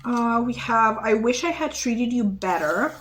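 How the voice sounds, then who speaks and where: A woman's voice: on the high side, expressive, measured pace, a bit bright, a little hiss or hum. A young woman giving a casual, rambling tarot reading to her viewers, with lots of fillers and giggles. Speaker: tarot reader.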